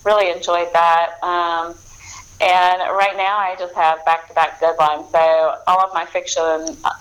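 A woman's voice: on the low side, little pitch movement, unhurried, a bit bright, soft background music, rough recording. A woman in her forties, an author guest, talking in a rambling, easygoing way with many restarts. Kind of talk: casual interview chat.

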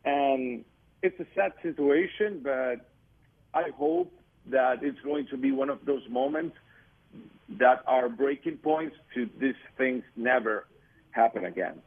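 A man's voice: medium pitch, big pitch swings, slow pace, creaky. Earnest man on a tinny line. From Venezuela. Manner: earnest, searching for words, frequent restarts. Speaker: guest soccer commentator. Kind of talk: reflective interview talk. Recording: tinny phone-line audio.

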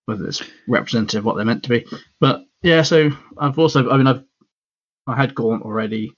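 A man co-hosting a podcast, chatting casually and a bit rambly with a husky voice. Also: mid-range voice, fairly quick. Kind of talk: casual hobby chat. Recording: dull lo-fi audio.

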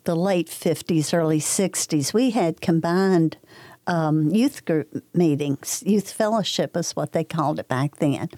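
An older woman from Kentucky recalling church memories in an interview, pausing often with many ums and uhs. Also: on the low side, dry recording, studio quality. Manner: hesitant, many ums, soft-spoken. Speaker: interview guest. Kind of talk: casual reminiscing interview.

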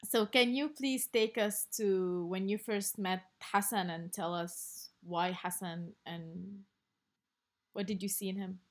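A woman hosting a podcast, reading a measured introduction and asking gentle questions. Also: medium pitch, steady tone. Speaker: podcast host. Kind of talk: podcast interview.

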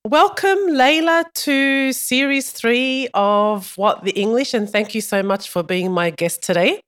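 A woman's voice: on the high side, unhurried, fairly bright tone, clean tone. A woman hosting a podcast interview, asking friendly, encouraging questions. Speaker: podcast host.

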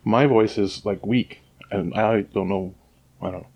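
Rambling low-voiced male podcaster. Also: fairly even intonation, measured pace, breathy edge, muffled recording. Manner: rambling, many restarts, opinionated. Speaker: podcast co-host. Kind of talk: casual chat. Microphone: sits close to the microphone.

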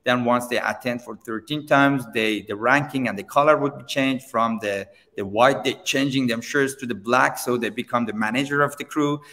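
A man whose first language is Persian, a seminar trainer answering interview questions in halting English with many restarts. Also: medium pitch, long reverb tail. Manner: halting, many restarts, non-native grammar, animated when pressed. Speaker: seminar trainer and company founder.

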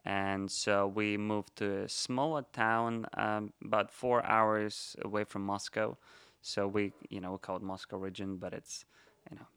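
A man's voice: lowish voice, little pitch movement, unhurried, fairly bright tone, smooth, no room sound, clean studio sound. A young man from Russia speaking English with a Russian accent, chatting good-humoredly as a podcast guest. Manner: good-humored, laughs easily, uses fillers. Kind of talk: casual interview chat.

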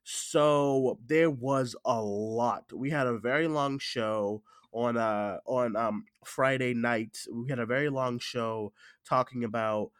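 A man with a stuffy cold hosts a casual podcast, talking in a halting way that often doubles back on phrases. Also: medium pitch, clean tone, no echo, studio quality. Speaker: podcast host.

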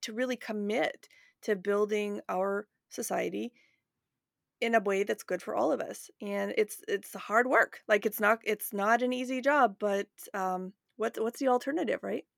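A woman's voice: mid-range voice, measured pace, creaky, no echo, studio quality. A woman hosting a casual podcast conversation, speaking in a reflective, sincere way. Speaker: podcast host.

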